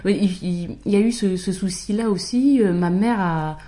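A woman's voice: mid-range voice, moderate pace, warm tone. A woman talking as a guest in a casual conversation, hesitant, with many fillers and restarts.

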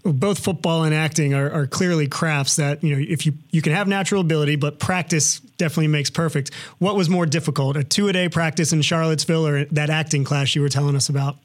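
A man hosting an interview, asking friendly, measured questions. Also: fairly high pitch, steady tone.

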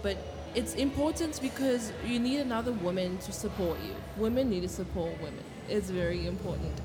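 A woman's voice: mid-range voice, brisk. A nineteen-year-old woman from the Congo, a poet, talking openly and earnestly in a casual interview and laughing often. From Democratic Republic of the Congo. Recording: music playing behind.

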